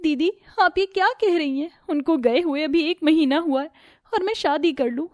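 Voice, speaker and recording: A female storyteller acts a hurt young daughter-in-law in a domestic storybook tale. The voice sits high, well above ordinary narration, timid and pleading, in a dry close recording. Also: normal speed, no echo, natural voice. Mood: distressed. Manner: plaintive, timid.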